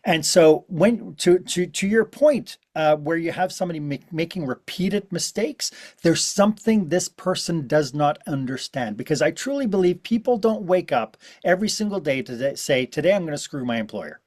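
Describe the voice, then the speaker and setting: A man's voice: on the high side, expressive, normal speed, few pauses, darkish tone. A man from Quebec, a business consultant who is a guest on a podcast, speaking earnestly and with emphasis in casual conversation. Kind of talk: casual interview.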